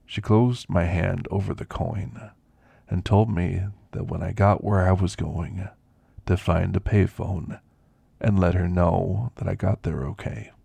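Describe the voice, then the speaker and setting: Elderly werewolf , male actor, reflective ASMR storyteller: husky, weathered rasp, unhurried folksy delivery, close and dry recording. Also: low voice, steady tone, mellow, dry recording, heavily acted. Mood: wistful, reflective. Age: older. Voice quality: gravelly, husky. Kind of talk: ASMR roleplay monologue.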